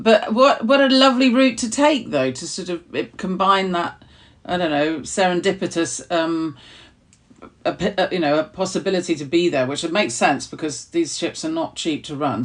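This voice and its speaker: A woman's voice: sits low, moderate pace, far from the mic. A woman hosting a podcast, a maritime archaeologist asking questions with keen enthusiasm. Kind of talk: podcast interview.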